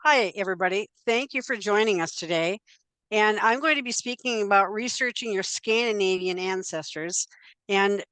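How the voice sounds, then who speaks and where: A woman's voice: medium pitch, fairly bright tone, clean tone, no room sound. An older woman gives an informal, chatty genealogy presentation, talking conversationally with frequent fillers.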